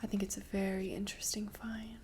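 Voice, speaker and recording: A young woman playing a mysterious, gentle seaside shopkeeper in a whispered ASMR roleplay, hushed, unhurried and intimate. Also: medium pitch, little pitch movement, a little hiss or hum, lightly acted. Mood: hushed, inviting. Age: young adult. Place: dim candlelit tabletop set indoors.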